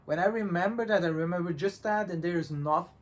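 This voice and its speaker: Higher-voiced man in a halting casual discussion. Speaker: visual novel reviewer and co-host.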